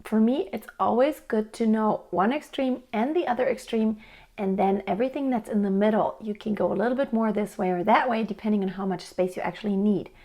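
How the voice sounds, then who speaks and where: A woman's voice: mid-range voice, brisk, warm tone. A woman teaching singing, explaining technique in a friendly, patient way and answering a viewer's question. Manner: friendly, explanatory, with sung demonstrations. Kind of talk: vocal coaching tips to camera.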